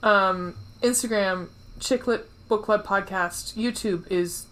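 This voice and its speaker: A woman's voice: medium pitch, slow pace, fairly bright tone, poor audio. A woman co-hosting a podcast in casual chat, informal and playful, with a habit of swearing. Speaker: podcast co-host.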